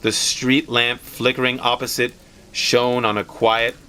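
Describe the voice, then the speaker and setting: A man's voice: medium pitch, level tone, measured pace, pushed and bright, smooth. A man narrating a story aloud in a dramatic, scripted storytelling style.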